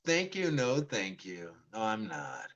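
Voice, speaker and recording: A man's voice: lowish voice, wildly swooping pitch, unhurried, a bit bright, lo-fi sound. A man of about forty telling a story in a casual monologue, rambling and restarting his sentences often, and acting out both sides of conversations. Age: 40s. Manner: rambling, many restarts and stammered repeats. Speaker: vlogger.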